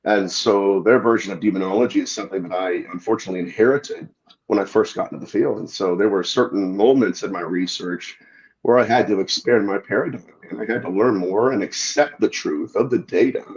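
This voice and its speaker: A man's voice: on the low side, brisk, a little mellow, muffled recording. A man appearing as a guest demonologist, explaining his views earnestly and thoughtfully. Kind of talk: casual interview talk.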